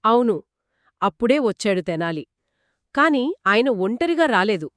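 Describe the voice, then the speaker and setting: A woman co-narrating a children's story in a conversational, expressive and engaging style. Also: on the high side, a little slow, no room sound, clean studio sound. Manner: expressive, engaging, acts out characters' quoted lines.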